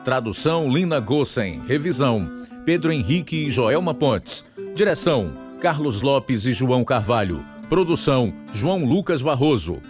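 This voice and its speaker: A man's voice: medium pitch, very animated, normal speed. A man with a Brazilian accent hosting and announcing a radio program with scripted narration. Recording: guitar music under the voice.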